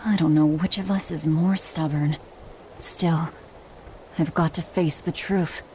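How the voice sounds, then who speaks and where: Low, brooding young warrior woman. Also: steady tone, measured pace, dark tone, slight background noise. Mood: somber. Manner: terse, resolute, brooding. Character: grim, guilt-laden young woman warrior, stubborn and unapologetic. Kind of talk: dramatic video game voice acting.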